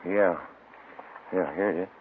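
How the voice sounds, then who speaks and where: An actor playing a courteous, brisk police detective in a 1950s radio crime drama. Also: male voice, deep voice, fairly even intonation. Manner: brisk, polite. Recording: tinny, noisy old radio recording.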